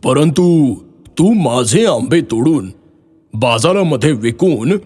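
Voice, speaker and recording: A man voicing a kind, selfless old tree in a children's story, speaking gently and pleadingly. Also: medium pitch, lively intonation, slow pace, husky. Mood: apologetic, generous. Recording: music behind the voice.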